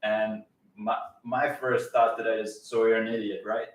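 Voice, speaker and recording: A man's voice: on the low side, level tone, moderate pace. A man with a foreign accent, a guest on a talk show, speaking in a wry, exasperated way. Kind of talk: casual talk show chat. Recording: echoey room, distant mic, faint noise.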